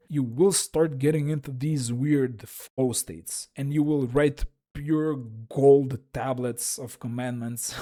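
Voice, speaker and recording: Man, russian accent, mellow dark tone, frank and wry, solo explainer. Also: a little high. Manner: frank, wry, self-deprecating. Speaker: YouTube creator.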